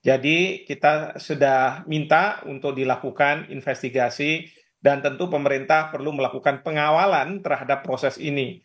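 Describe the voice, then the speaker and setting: A man giving expert analysis in an interview, explaining in a halting way. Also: on the high side, a bit bright. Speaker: expert guest, professor.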